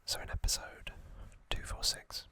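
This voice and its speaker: A man whispering trivia as an ASMR host into a close microphone, softly, with frequent ums and uhs. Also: normal speed. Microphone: close sensitive mic.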